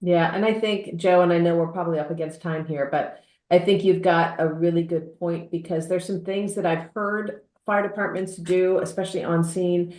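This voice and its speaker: Lowish woman in an echoey room. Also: steady tone, normal speed, a little mellow. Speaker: podcast panelist. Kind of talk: casual discussion. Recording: roomy, echoing sound.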